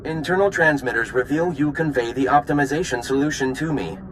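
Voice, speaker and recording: An actor playing a politely pedantic alien science unit in a video game, with formal, oddly stilted delivery. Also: male voice, medium pitch, a little fast, slight background noise, close to an everyday voice, rough recording. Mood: formal, curious.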